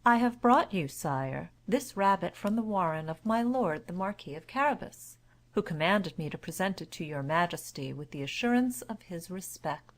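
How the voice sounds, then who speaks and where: A woman's voice: on the low side, animated, normal speed. A woman voicing a cunning, confident cat from a storybook, with a courtly, persuasive manner. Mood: courtly, deferential. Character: cunning, confident talking cat, servant to his master.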